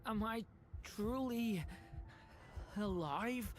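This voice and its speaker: A woman voicing a gentle, earnest boy in a video game, speaking softly and hesitantly. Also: fairly high pitch, very slow, a little hiss or hum. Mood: weak, doubting. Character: gentle earnest boy, part storybook dragon. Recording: prominent music behind the voice.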